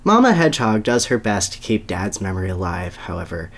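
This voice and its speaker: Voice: sits low, sing-song, fairly quick. A podcast host talking casually and reading a story aloud as a dramatic reading.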